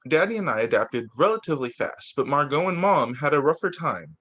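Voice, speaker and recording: A man's voice: on the high side, sing-song, a little fast, a bit bright. A man reading a children's book aloud as a teacher, occasionally stumbling, repeating phrases and adding casual asides. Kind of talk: children's story time read-aloud.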